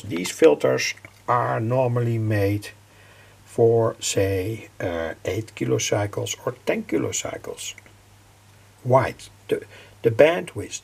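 Gravelly older man, slow and halting. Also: medium pitch, a little mellow. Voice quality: gravelly, husky. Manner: halting, repeats phrases, frequently says "say". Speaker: electronics hobbyist presenter. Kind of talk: informal technical explanation.

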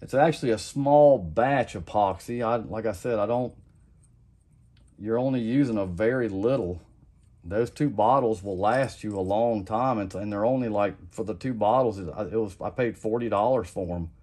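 A man's voice: fairly low pitch, moderate pace. A middle-aged man giving a casual how-to demonstration at his home workbench, rambling and often repeating himself. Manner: rambling, repeats "like I said", relaxed and plain-spoken. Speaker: custom lure maker.

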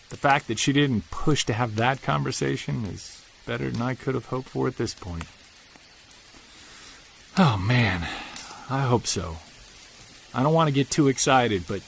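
An earnest Bigfoot investigator, played by a man, speaking softly and confessionally in a gravelly voice. Faint ambience hums behind him throughout. It is an audio drama performance. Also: medium pitch, slight background noise. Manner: earnest, soft-spoken, confessional.

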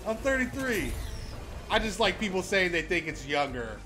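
A man's voice: high-pitched, sing-song, normal speed, sharp tone. A man in his thirties streaming a game from home, chatting casually with viewers and swearing now and then. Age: 30s. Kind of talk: casual gaming livestream chat. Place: home room, indoors. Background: game music and gunfire.

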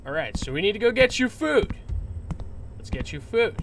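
A man's voice: high voice, very animated, moderate pace, vocal fry, noisy background. Nasal young man reacting to a horror game. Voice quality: nasal from flu. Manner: jumpy, yells at the game. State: recovering from flu. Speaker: gaming youtuber. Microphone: headset.

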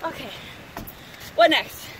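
A young woman at an outdoor playground, joking and swearing while she climbs the equipment, recorded on a phone. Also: takes it slowly. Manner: swearing, self-mocking, laughing between lines. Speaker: playground challenge participant. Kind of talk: casual banter while climbing. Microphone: phone camera held by someone else. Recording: noisy outdoor phone audio.

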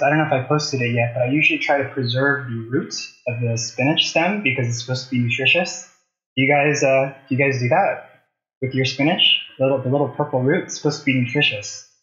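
A man's voice: mid-range voice, a bit bright. A man in his thirties hosting a casual cooking demonstration, talking conversationally to viewers as he works. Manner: conversational, asks viewers questions while working. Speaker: cooking show host. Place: home kitchen, indoors. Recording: slightly dull, roomy kitchen sound.

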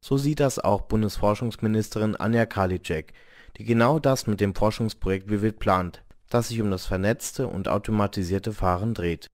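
A male radio reporter narrates a scripted piece in a lowish voice. The recording is close and dry, with no room sound. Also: moderate pace, no echo.

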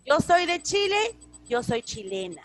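Slow, patient teacher on a call. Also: female voice, high-pitched, very animated. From Peru. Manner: patient, repeats phrases, drawn-out English words. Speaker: English teacher. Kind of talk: online class teaching. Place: home room with altar behind.

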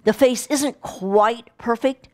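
A woman's voice: a little high, takes it slowly, a little mellow. A middle-aged woman co-hosting a casual review chat, stressing her points emphatically and repeating words. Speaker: film review show co-host. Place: home kitchen, indoors.

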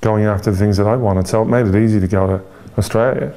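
A man in his forties from Ireland with an Irish accent, an interview guest speaking candidly and reflectively, pausing often with fillers and restarts. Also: on the low side, fairly even intonation, warm tone.

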